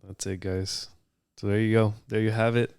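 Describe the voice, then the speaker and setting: A man in casual podcast chat, relaxed, mostly backing up his co-host with short agreeing words before wrapping up. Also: lowish voice, moderate pace, vocal fry, clean tone, no room sound, studio quality. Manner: relaxed, brief agreeing interjections.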